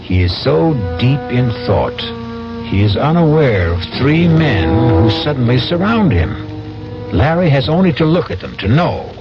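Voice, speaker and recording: A man giving dramatic scripted narration as host of a 1970s radio drama. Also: mid-range voice. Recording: muffled 1970s radio recording.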